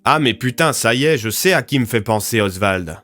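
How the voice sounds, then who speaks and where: A man voicing a brisk, practical, plain-spoken police inspector in an audio story, speaking in short clipped steps. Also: medium pitch, animated, pushed and bright, soft background music. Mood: amused realisation.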